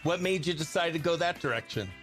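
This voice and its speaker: A man's voice: on the high side, animated, fast talker, a bit bright, faint music behind. A man hosting a country radio show, interviewing a guest in an upbeat radio style.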